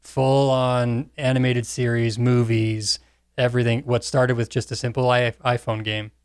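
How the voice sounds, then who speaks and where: A man chats as a podcast panelist in a middle-pitched voice. He is informal and puts emphasis into his points. The sound is dry, with hardly any room. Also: little pitch movement, unhurried, clear, resonant tone, no echo. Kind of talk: casual chat.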